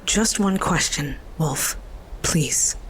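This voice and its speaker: A woman's voice: low-pitched, slow, a little hiss or hum, poor audio. A woman playing a bold, curious young girl, earnest and unguarded, in a fantasy audio drama.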